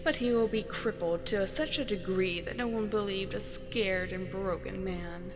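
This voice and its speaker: A woman's voice: medium pitch, moderate pace, big-room sound, music in the background, natural voice. A woman as a smug scheming poisoner. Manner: smug, gloating. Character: scheming, sly female poisoner sister.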